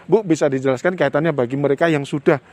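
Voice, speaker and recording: A man working as a field reporter, giving a formal on-location news report and interviewing people outdoors at a village hall, with measured speech and some hesitant fillers. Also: a little high, steady tone, darkish tone.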